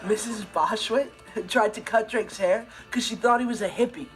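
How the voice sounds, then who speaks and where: High-voiced earnest nerdy teenage boy played by a man. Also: moderate pace, over music, lightly acted. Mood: animated, sheepish. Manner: earnest, explanatory storytelling. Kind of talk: sitcom monologue to audience.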